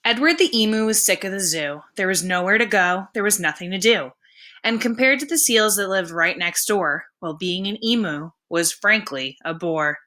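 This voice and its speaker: Bright-voiced woman reading a storybook. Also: mid-range voice, normal speed. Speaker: teacher reading a children's book aloud. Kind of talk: read-aloud storybook narration in rhyme.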